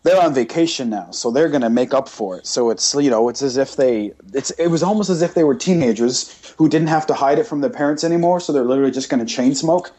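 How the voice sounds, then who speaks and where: A man's voice: medium pitch, fast, few pauses, dark tone. A man hosting a podcast, talking casually in a blunt, emphatic rant that piles up repeated points. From Britain. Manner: emphatic, repetitive listing, blunt. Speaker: podcast host.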